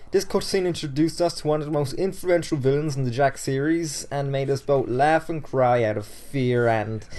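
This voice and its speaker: A man's voice: medium pitch, darkish tone. A man giving scripted but informal, enthusiastic commentary as the presenter of a top ten video.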